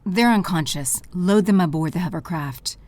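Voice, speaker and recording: A woman's voice: on the low side, normal speed, a little mellow, dry recording, natural voice. A woman playing a cold, commanding villainess in an audio drama, imperious and coolly menacing in her delivery. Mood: cold, calculating. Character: cold, commanding villainess, telepathic leader of henchmen.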